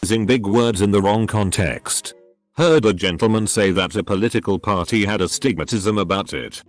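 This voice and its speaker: A man narrating, reading online posts aloud in an even, matter-of-fact way over faint background music. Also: fairly low pitch, normal speed.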